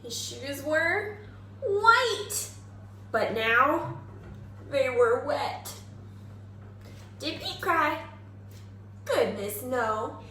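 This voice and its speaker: A woman teacher giving an expressive, exaggerated children's read-aloud and simple lesson, prompting listeners with questions. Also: high-pitched, very slow, long pauses. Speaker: kindergarten teacher reading aloud. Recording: echoey room, faint background noise.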